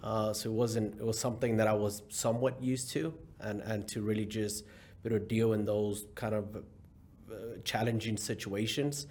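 Low-voiced Mexican-born man, easygoing. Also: flat delivery, moderate pace, warm tone. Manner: easygoing, with frequent fillers and restarts. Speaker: company CEO, guest. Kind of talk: casual interview talk.

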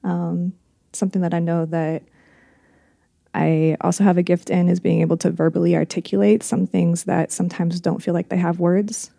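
Gravelly, mellow-voiced woman podcast host. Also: on the low side, level tone, normal speed, no echo, studio quality. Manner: reflective, searching for words, frequent "um" and "like". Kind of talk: casual conversational podcast chat.

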